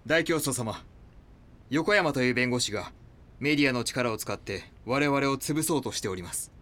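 A man's voice: medium pitch, animated, normal speed, a bit bright, lightly acted. A man playing a zealous, ruthless cult lieutenant in an audio drama, reverent and commanding. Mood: intense, obedient.

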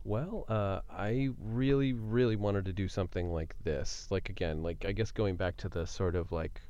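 A man's voice: low voice, fairly even intonation, normal speed, warm tone, highs cut off. A man hosting a podcast, chatting casually and candidly, rambling a little as he thinks aloud. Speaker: podcast host. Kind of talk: casual unscripted chat.

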